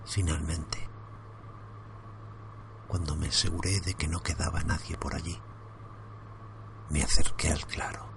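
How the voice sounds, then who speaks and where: Deep-voiced man narrating horror in hushes. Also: level tone, unhurried, gravelly, long pauses, a little hiss or hum. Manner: hushed, suspenseful, drops into whispers.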